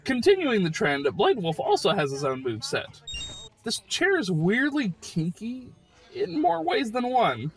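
A man giving casual, jokey voice-over commentary on a video game, excitable and a bit profane. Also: high-pitched, a little slow. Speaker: gaming video host.

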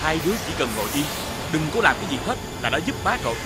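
A man's voice: a little high, very animated, rapid-fire. A man voicing a caring but scolding father dog in a children's cartoon, exclaiming and instructing. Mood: explaining. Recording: loud music over voice.

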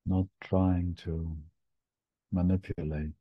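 A man leading a guided meditation as a teacher, speaking gently in short phrases with long pauses. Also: low-pitched, little pitch movement, husky, no room sound, phone-quality sound.

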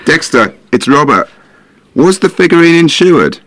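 A man's voice: on the high side, very animated, moderate pace, a bit bright. A man playing a knowledgeable, matter-of-fact football fanzine guest on a podcast in an audio drama. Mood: matter-of-fact, informative.

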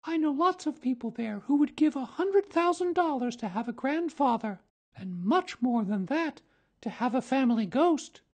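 A woman's voice: high-pitched, lively intonation, normal speed, a little mellow. A woman voicing an earnest, outspoken young girl, speaking in a prim, matter-of-fact way. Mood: tart. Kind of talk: audiobook drama reading.

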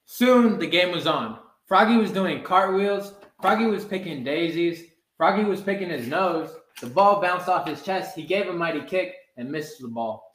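Slow-reading higher-voiced young man, roomy sound. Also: animated, fairly bright tone. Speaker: soccer goalkeeper reading a children's book. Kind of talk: read-aloud storytime. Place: small room with blinds, indoors. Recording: roomy indoor webcam sound.

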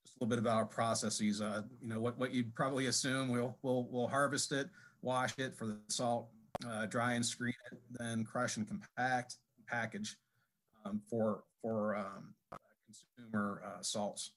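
A man giving a technical presentation at a conference, speaking haltingly with many fillers and restarts. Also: medium pitch, fairly even intonation, fairly bright tone. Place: conference session room.

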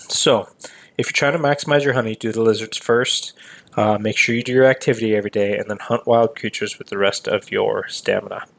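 A man with a slightly raspy voice walking through a mobile game event in casual voice-over commentary, relaxed and full of ums. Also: lowish voice, brisk. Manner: relaxed, many ums, explains step by step.

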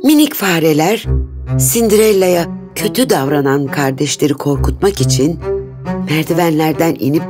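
A woman's voice: low voice, moderate pace. A woman reading a scripted children's fairy tale as narrator. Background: instrumental music.

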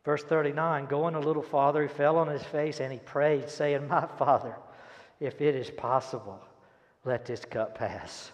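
An older man preaches a sermon and reads scripture with dramatic, emphatic delivery and deliberate pauses. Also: on the high side, steady tone, muffled recording. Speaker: pastor. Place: large church auditorium stage, indoors. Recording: echoey hall, long reverb.

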